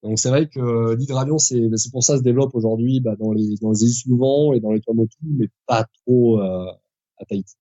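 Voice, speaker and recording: A man's voice: medium pitch, fairly quick, mellow, dry recording, lo-fi sound. A man in his forties, a pilot guest on a podcast, explaining at length with frequent hesitations and restarts. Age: 40s. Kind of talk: conversational podcast interview.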